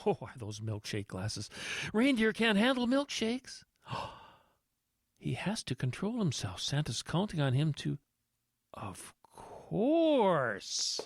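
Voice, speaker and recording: An actor playing a greedy, callous travel agent in an audio drama, with a smug, theatrical manner. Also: male voice, fairly high pitch, wildly swooping pitch, slow pace, no echo, heavily acted. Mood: falsely friendly. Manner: theatrical, muttering schemes to himself.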